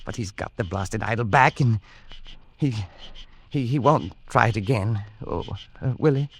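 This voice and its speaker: An actor playing a guilty, evasive adventurer who grows frightened, speaking hesitantly and defensively in old radio thriller style. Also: male voice, medium pitch, moderate pace, natural voice. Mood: anxious. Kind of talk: 1940s-50s radio thriller acting and narration. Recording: vintage radio recording with music.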